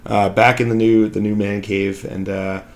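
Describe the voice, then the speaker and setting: A man with a raspy voice hosting his own hobby channel, chatting casually from his home hobby room into a desk microphone. He rambles, with many fillers and restarts. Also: fairly low pitch, little pitch movement, moderate pace. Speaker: channel host. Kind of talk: casual hobby vlog talk while painting. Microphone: new desk microphone.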